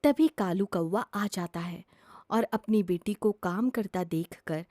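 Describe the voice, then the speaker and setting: A woman's voice: medium pitch, takes it slowly, mellow, dry recording, studio quality. A woman narrating a scripted children's story as a storyteller.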